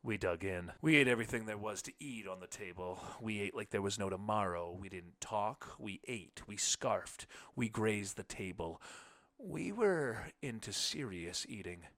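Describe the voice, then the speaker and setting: A man narrating a literary short story in the first person, with measured phrasing. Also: lowish voice, expressive, fairly bright tone. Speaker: audiobook narrator.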